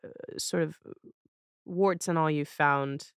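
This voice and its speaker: Smooth-voiced woman hosting a casual interview. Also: low-pitched, fairly even intonation, slow, dry recording, clean studio sound. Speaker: podcast host.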